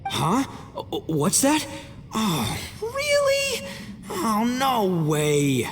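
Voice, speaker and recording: An actor playing a brash, boastful young Oni gang boss in a video game cutscene, blustering and theatrical. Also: male voice, high voice, wildly swooping pitch, very slow, a little hiss or hum, heavily acted. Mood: baffled. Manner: theatrical, blustering, swings from cocky to flustered. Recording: long reverb, music behind.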